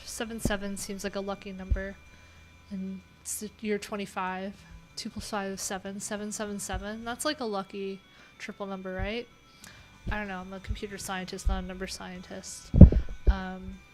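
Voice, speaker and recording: A woman chats loosely to her stream audience, drifting from topic to topic and restarting her sentences. Her voice sits at an ordinary middle pitch. Faint music plays softly behind her. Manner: chatty, rambling, many fillers and restarts. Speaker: live coding streamer. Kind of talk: casual livestream chat.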